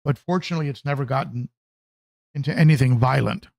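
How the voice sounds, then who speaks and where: A man hosting a casual hobby livestream, talking conversationally and haltingly, often repeating phrases as he answers viewers. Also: mid-range voice, gravelly, a little mellow, no room sound. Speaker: livestream host, aquarium hobbyist.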